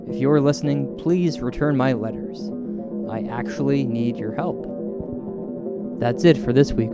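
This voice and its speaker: A man hosting and narrating a scripted comic horror story in a deadpan, mock-solemn way. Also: mid-range voice. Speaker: host and storyteller. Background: music.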